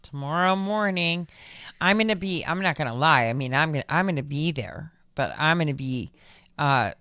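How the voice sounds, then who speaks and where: A woman with a smooth, clear voice of middling pitch, reading local event listings on radio in an upbeat, chatty way. Her delivery is full of small fillers. The sound is close and dry. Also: animated, moderate pace, a bit bright, no room sound. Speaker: radio show co-host.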